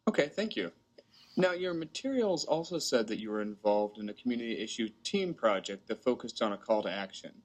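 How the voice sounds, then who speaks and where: A man's voice: mid-range voice, animated, brisk. A man conducting a structured mock interview as instructor, polite and formal.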